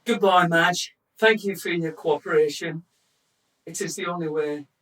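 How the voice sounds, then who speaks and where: A woman's voice: on the low side, moderate pace, fairly bright tone, lightly acted. A woman acting in a radio drama as a flustered, bossy football club owner, exasperated and emphatic, recorded at a distance from the mic. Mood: firm, businesslike.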